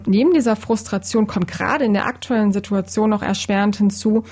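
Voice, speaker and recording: Slightly husky woman explaining in conversation. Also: mid-range voice, fairly even intonation, fairly quick, a little mellow, faint music behind. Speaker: psychologist, podcast expert.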